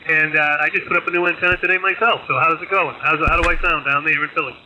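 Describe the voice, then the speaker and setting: A sharp, very bright male voice, slightly high, comes over ham radio with faint static. He gives plain, polite operator chat. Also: fairly even intonation, fast talker, poor audio. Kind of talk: casual radio signal report. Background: faint radio noise.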